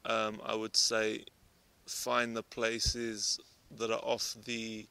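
Guy in his 30s in a park, gravelly voice, pretty monotone. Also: lowish voice, slow, rough recording. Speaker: interviewee, tourist. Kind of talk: casual street interview. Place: park outdoors.

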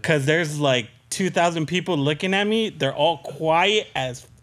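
Male comic podcast guest, 30s, slightly higher voice, loose slangy riffing, close studio mic. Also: unhurried, a bit bright. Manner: slangy, joking, playful riffing. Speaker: podcast guest, comedy content creator. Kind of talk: casual comedic chat. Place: small curtained podcast studio. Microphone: podcast mic on desk stand.

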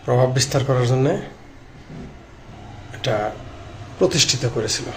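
A middle-aged man with a Bangladeshi accent gives informal political commentary to camera, speaking haltingly in short broken phrases. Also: mid-range voice, fairly bright tone. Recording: clearly noisy background ambience.

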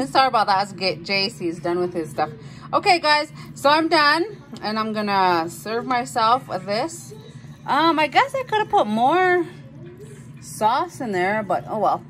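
A woman in her thirties chatting casually as she cooks at home and films on her phone, filling pauses with fillers and little apologies. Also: fairly high pitch, sing-song, a bit bright, poor audio. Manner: chatty, self-narrating, filler-heavy, apologetic. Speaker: home cooking vlogger. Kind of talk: casual cook-along chat. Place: home kitchen, indoors.

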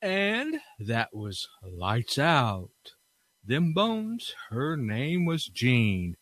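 A man hosting a radio-style podcast informally, with unhurried, halting phrasing. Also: mid-range voice, huge pitch swings, a bit bright, no echo. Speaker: podcast host.

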